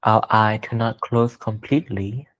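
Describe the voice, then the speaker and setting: A man leading a guided meditation as a teacher, speaking gently and deliberately with pauses between short phrases. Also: lowish voice, fairly even intonation, dull audio.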